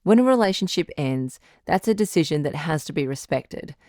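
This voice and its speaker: Low female voice, caring radio doctor played by an actress, measured, articulate, reassuring, advice-show drama. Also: expressive, dark tone, dry recording, studio quality. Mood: composed sign-off.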